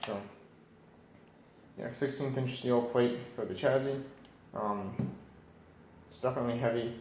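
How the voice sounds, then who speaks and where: A man giving an unscripted hands-on walkthrough, musing aloud in a tentative, halting way. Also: medium pitch, fairly even intonation, darkish tone. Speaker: radio repair hobbyist presenting. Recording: roomy echo, background noise, varying distance.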